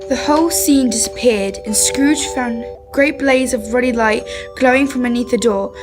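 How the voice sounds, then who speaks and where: A woman's voice: a little high, steady tone, normal speed, close to an everyday voice. A young woman narrating a scripted radio drama. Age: teens. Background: instrumental music.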